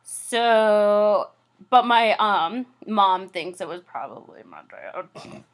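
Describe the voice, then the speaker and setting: Slow-talking woman podcast co-host. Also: medium pitch, lively intonation. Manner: unhurried, trailing-off speech with fillers. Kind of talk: casual chat.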